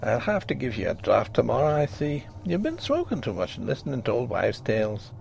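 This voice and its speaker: Guy putting on a hearty irish doctor, bluff and teasing, bouncy lively voice. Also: mid-range voice, a little fast, creaky, slight background noise, exaggerated voice. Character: young male Irish country doctor, hearty, blunt.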